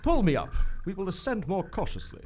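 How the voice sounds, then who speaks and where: A pompous, eager prince explorer, acted by a man in a radio adventure. His theatrical, clipped speech swings very widely in pitch. Faint noise runs behind the live recording. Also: sing-song, fairly quick, warm tone. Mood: commanding, assured. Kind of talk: old-time adventure radio acting. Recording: live stage recording, some background noise.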